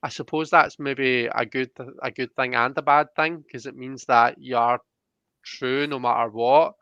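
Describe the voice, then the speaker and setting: A man's voice: mid-range voice, steady tone, moderate pace, a bit bright, clear, resonant tone, dry recording, lo-fi sound. A man giving a conversational tarot reading, explaining each point in a reflective, searching way. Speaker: tarot reader.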